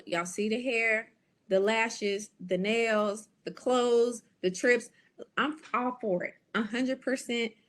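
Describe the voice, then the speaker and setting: An American woman, a business coach, giving a lively, preachy training talk and speaking straight to her audience. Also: medium pitch. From United States.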